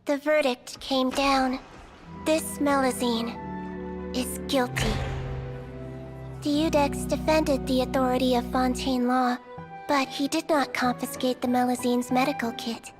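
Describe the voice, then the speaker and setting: A small, kindly Melusine doctor from a fantasy game, with a high, sweet voice. She talks softly and earnestly, like someone telling a story. Game music plays behind her. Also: steady tone, a little slow. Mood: storytelling. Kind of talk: game cutscene voice acting.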